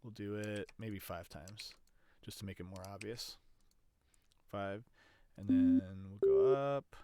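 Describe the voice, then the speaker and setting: A man's voice: on the low side, slow, dark tone, no room sound. A man giving a casual, explanatory screen demonstration, thinking aloud in a hesitant way as he presents an audio production tutorial.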